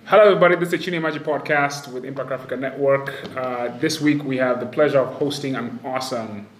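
A man's voice: medium pitch, fast talker, soft background music. A man from Kenya hosting a casual podcast interview, chatty and upbeat, often restarting his sentences. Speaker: podcast host. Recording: roomy sound with faint ambience.

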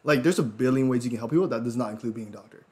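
A man's voice: medium pitch, very fast, darkish tone. A man in his twenties, a podcast guest in casual chat, talking in a laid-back slangy way and often tacking on "yo".

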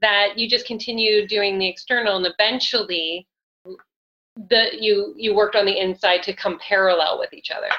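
A woman hosting an interview show asks long, searching questions in an animated, empathetic way, laughing along as she goes. Her voice is bright and forceful. The audio sounds dull and muffled. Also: medium pitch, normal speed. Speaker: podcast host. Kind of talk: casual interview chat.

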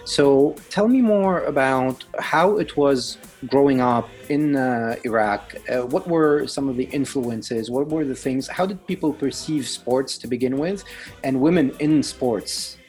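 A man's voice: mid-range voice, a little slow, darkish tone, faint music behind. A man hosting a podcast, reading a scripted intro and then interviewing his guest with enthusiasm. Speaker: podcast host and coach.

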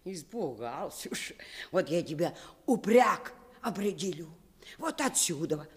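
A woman's voice: lowish voice, sing-song, unhurried, slightly rough, heavily acted. A woman playing a fond, bustling old village grandmother in a radio play, coaxing in folksy rural Russian dialect. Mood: fond, soothing.

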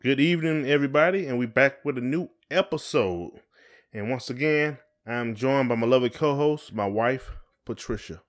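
A man's voice: medium pitch, moderate pace. A man co-hosting a podcast in casual conversation, talking in a folksy way and often repeating his phrases. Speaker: podcast co-host.

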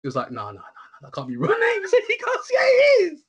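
A man co-hosting a show, talking casually in an excitable, slangy way. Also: very high voice, fairly quick, raised voice. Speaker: co-host reviewing shows. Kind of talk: casual chat.

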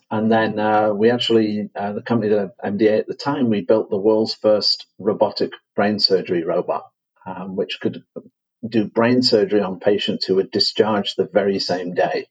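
Husky Mancunian man, low voice. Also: fairly even intonation, lo-fi sound. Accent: Manchester English. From England. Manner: measured, with frequent fillers and restarts. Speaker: guest, company CEO. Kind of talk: podcast interview.

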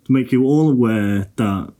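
A man chats loosely on a podcast, wandering through his points and swearing casually. His pitch sits in the middle range for a man, and he laughs often with his co-hosts. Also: moderate pace, warm tone. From Liverpool, England. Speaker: podcast co-host. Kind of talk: casual banter.

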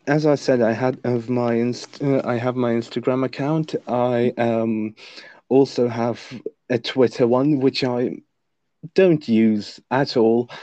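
A man's voice: mid-range voice, dark tone, no echo, highs cut off. A young man whose first language is Lithuanian, a guest author on a podcast, who answers hesitantly with many fillers and restarts. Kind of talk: podcast interview.

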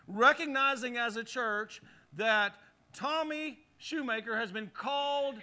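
High, forceful preaching pastor. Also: male voice. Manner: animated preaching with call-and-response. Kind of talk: sermon. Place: church sanctuary. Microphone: handheld or headset mic.

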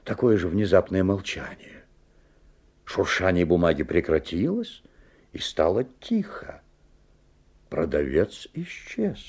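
An actor playing a wary, observant father who narrates a strange tale expressively, with theatrical pauses. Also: deep voice, sing-song, darkish tone, husky, full character voice. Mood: wondering, uneasy. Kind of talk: dramatic literary narration. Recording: 1970s audio play, music behind.

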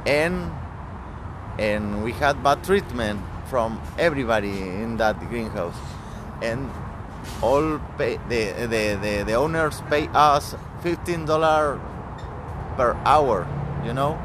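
A man from Chile with a Chilean Spanish accent, speaking halting English with many restarts as an interview guest. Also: medium pitch, noisy background.

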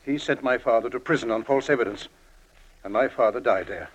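An actor in a 1940s style radio drama playing a wealthy, middle-aged villain, formal and guarded with menace underneath. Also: male voice, medium pitch, a little fast, lo-fi sound, close to an everyday voice. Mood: defensive.

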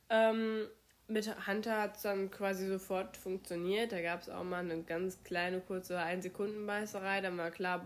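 A young German woman hosting her podcast while she has a cold, her voice strained, chatting casually with many fillers. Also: mid-range voice. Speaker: podcast host. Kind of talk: casual solo podcast chat.

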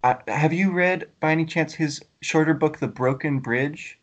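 A man's voice: fairly high pitch, moderate pace, lo-fi sound. A man hosting an interview, asking questions hesitantly with many ums and uhs. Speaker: podcast host.

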